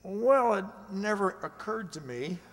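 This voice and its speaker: An actor playing a timid, amiable night security guard in a radio drama, hesitant and folksy, stammering at times. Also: male voice, sits high, expressive, unhurried, fairly bright tone, long reverb tail, heavily acted. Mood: amiable, uneasy. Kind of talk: radio drama acting and narration.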